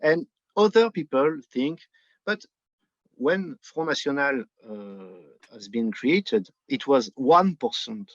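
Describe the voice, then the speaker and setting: A man's voice: mid-range voice, animated, slow pace, no echo, highs cut off. A man from France with a French accent, giving political commentary in conversation as an interview guest and searching for his words. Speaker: interview guest, book editor.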